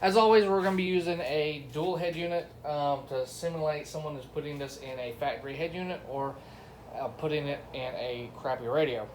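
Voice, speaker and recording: A man's voice: on the high side, fairly quick. Man reviewing gear casually over noisy sound. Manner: informal, addresses viewers as y'all and guys. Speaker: product reviewer. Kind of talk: casual unscripted product review.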